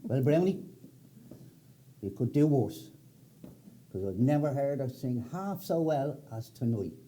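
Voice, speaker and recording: A man's voice: mid-range voice, lively intonation, measured pace, warm tone, echoey room, slight background noise, lightly acted. A man playing an effusive young man who insists on his praise. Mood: gushing. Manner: gushing, insistent.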